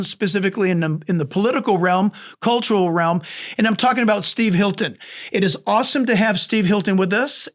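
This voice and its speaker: A man's voice: high voice, a bit bright. A man hosting his podcast as a pastor, effusive and eager in his welcome and questions. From San Diego area. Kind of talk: enthusiastic interview hosting.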